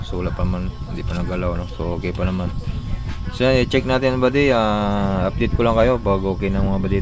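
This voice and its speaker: A man with a Filipino accent hosting a casual hands-on repair tutorial, talking informally through each step as he works. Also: on the low side. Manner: informal, talking through steps while working. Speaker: electronics repair tutorial host.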